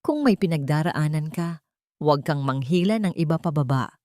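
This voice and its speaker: A woman's voice: sits low, lively intonation, normal speed, dark tone. A cold, angry man of wealth and power, as a woman narrator plays him in a storybook drama. He is stern and commanding. The sound is dry, without room echo.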